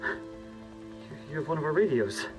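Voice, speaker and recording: A man playing an anxious government research scientist in a hazmat suit, with halting, stammering speech, in cinematic video game acting. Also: a little high, big pitch swings, over music. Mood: frightened, flustered.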